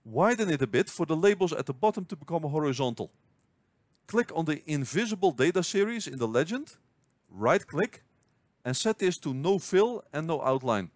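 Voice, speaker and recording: A man narrating a scripted spreadsheet tutorial, explaining step by step in a clear, measured way. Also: fairly high pitch, sing-song, a little mellow, dry recording. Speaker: finance tutorial host. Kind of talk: scripted screen-recording tutorial.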